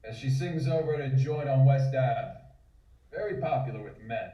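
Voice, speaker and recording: An actor playing a tense, tired, hostile police officer, terse and commanding, in a table read. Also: male voice, mid-range voice, normal speed, dark tone, natural voice. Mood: tense, impatient. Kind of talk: comic script table read. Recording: echoey, distant, muffled call audio.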